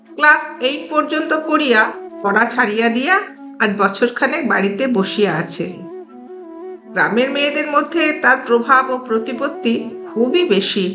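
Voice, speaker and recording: A woman narrating a literary audio story in a measured, expressive storytelling manner. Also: a little high. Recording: roomy echo, music underneath.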